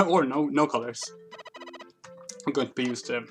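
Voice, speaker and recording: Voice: medium pitch, normal speed. A game streamer giving casual, rambling, explanatory livestream commentary in a hesitant way. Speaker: game streamer, channel host. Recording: game music behind the voice.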